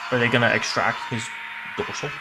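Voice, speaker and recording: A man's voice: mid-range voice, flat delivery, fairly quick, heavy background noise. A man doing a casual livestream reaction, reading captions aloud and commenting in a joking, irreverent, sweary way. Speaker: streamer reacting to horror videos.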